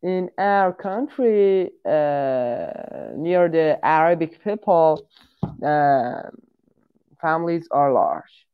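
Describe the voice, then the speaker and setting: A man in his twenties from Iran chats slowly in halting English, filling his pauses with hesitations. His voice is smooth and clear. The audio is dull and lo-fi. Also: on the high side, animated. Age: 20s. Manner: halting, searching for English words with many fillers. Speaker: guest on a chat show. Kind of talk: casual chat.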